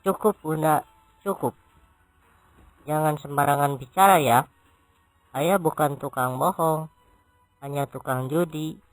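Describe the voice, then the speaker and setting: Man acting a higher-voiced shameless gambling husband. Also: a little slow, long pauses, faint music behind, close to an everyday voice, low-quality recording. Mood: defensive. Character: shameless gambling husband, pleading and self-justifying. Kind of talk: comic cartoon dialogue.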